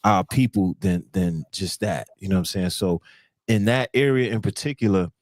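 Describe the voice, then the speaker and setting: A man's voice: low-pitched, moderate pace, darkish tone, dry recording. A man hosting a podcast, chatting casually in slang and often repeating words for emphasis. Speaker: podcast host. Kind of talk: casual interview chat.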